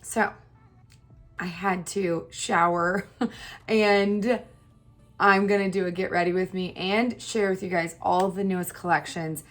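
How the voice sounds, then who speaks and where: A woman with a hoarse, partly lost voice, chatting casually and laughing at herself while doing her makeup on camera. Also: lowish voice. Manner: chatty, self-deprecating, laughs often. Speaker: beauty YouTuber. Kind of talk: casual get-ready-with-me chat.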